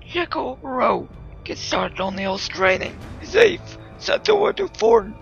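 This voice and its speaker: Male actor as imperious dark fantasy cartoon lord: high, gravelly creak, curt commands, cold temper. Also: sing-song, slow pace, muffled recording, full character voice. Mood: commanding. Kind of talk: amateur animated fantasy series acting. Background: music.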